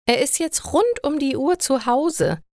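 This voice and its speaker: A woman's voice: sits high, very animated, measured pace, a little mellow, no echo, clean studio sound, full character voice. A woman voicing an exasperated older housewife in a story, complaining in a dramatic, emphatic way. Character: exasperated older housewife with a newly retired husband.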